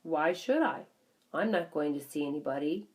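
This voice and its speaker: A woman's voice: deep voice, animated, normal speed. A woman voicing a grim, bitter older husband who grumbles and complains in a children's audiobook. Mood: growling, sullen. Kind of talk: children's audiobook character dialogue.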